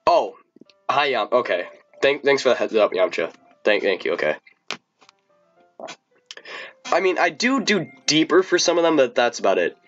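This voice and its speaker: Sick man, streamer, rambling, stumbling, muffled lo-fi audio, soft music behind. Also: big pitch swings, fairly quick. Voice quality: deep voice. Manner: rambling, stumbling over words. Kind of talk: casual live-stream chat and reading aloud.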